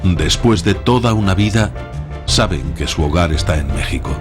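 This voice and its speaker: A man's voice: deep voice, animated, moderate pace, over music. A man narrating a documentary from a script.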